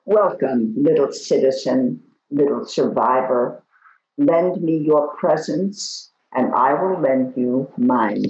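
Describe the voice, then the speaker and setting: Echoey, slow woman reading poetry. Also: very deep voice, darkish tone. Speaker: poetry reader. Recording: echoey room, dull lo-fi audio.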